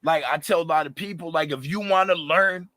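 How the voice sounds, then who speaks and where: Raspy animated man, bright tense voice. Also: fairly high pitch, a little fast, dry recording. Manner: animated, passionate, slangy, lots of fillers. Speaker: rapper, interview guest. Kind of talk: casual interview talk.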